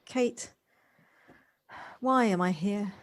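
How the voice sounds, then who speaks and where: A woman's voice: medium pitch, extremely slow. A woman acting a polite, slightly hesitant, well-spoken woman in an audio play, recorded at home. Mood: puzzled, wistful. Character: Jocelyn, polite warm woman settling into a house. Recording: home recording on personal device, faint noise.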